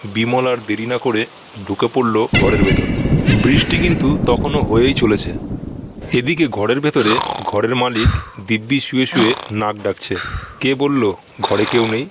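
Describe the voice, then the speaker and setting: A man's voice: mid-range voice, normal speed. A man narrating a scripted horror cartoon story. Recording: noisy recording with background ambience.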